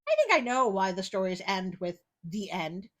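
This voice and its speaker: A woman reading fairy tales as a storytelling host, expressive, with wry and incredulous asides. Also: mid-range voice, a little fast.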